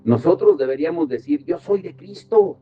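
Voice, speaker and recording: A man's voice: on the high side, animated, moderate pace. A man teaching a devotional Bible study as a pastor. He asks the group questions and sends them to verses. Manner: asks rhetorical questions and directs listeners to verses. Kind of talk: teaching sermon. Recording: slightly dull with music behind.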